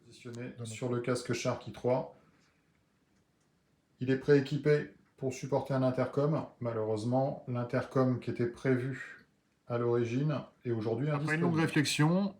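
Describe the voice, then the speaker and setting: A man's voice: medium pitch, moderate pace. A man presenting a product unboxing, explaining each item step by step. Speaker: motorcycle gear video creator.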